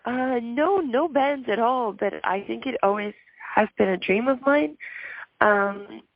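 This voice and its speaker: A woman with a hoarse, gravelly voice talks about her music, shy and full of fillers and soft giggles. The sound is dry and slightly dull, as from a lo-fi recording. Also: on the high side, a little slow, dry recording. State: hoarse from a throat issue. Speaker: musician guest. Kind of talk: casual interview answers.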